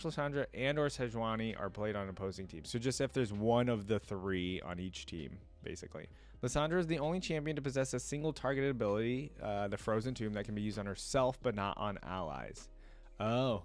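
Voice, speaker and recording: Casual man reacting and thinking aloud. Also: fairly low pitch. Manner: conversational, thinking aloud, often musing to viewers. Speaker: reaction video host. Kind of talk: casual reaction commentary, reading wiki text aloud.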